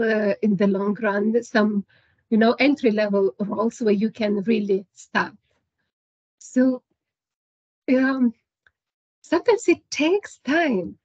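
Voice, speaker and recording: Brief: breathy, higher woman, career advisor, upbeat and encouraging, lo-fi video call. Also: dry recording. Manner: encouraging, gives advice with light laughs. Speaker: seminar presenter, career advisor. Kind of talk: online seminar Q&A.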